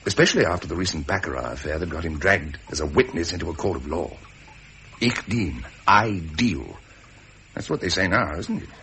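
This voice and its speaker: An actor playing an embittered British naval commander, wry and forthright, with an upper-class English accent. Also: male voice, low voice, animated, a little fast, bright, forceful tone, slight background noise, exaggerated voice, poor audio. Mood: bitter, sardonic. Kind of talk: 1970s British period drama acting.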